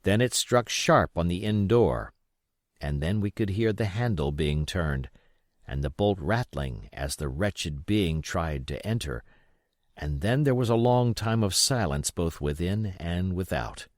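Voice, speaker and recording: A man narrates an adventure story as an audiobook reader. His voice sits on the low side and moves through a wide, animated range. The sound is close and dry, with an expressive scripted delivery. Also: unhurried, no echo.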